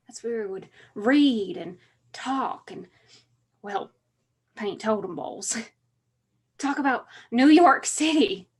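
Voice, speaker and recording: An actress playing a bookish, wistful mountain daughter in a stage monologue, storytelling lyrically with an Appalachian accent. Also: female voice, fairly high pitch, animated, slow pace, pauses often, a little mellow, husky, exaggerated voice. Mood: fond, wistful. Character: bookish, wistful mountain daughter reminiscing about her father.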